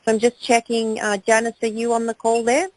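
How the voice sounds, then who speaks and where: A woman's voice: fairly high pitch, monotone, brisk, no room sound. An Australian woman hosting a team phone call, upbeat and enthusiastic. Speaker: call host.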